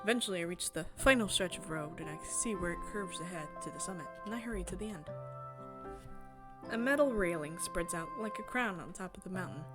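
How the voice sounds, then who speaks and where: A woman game streamer expressively reading a visual novel aloud, breaking into excited, chatty asides. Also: lowish voice, a little fast. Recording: music behind the voice. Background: game music.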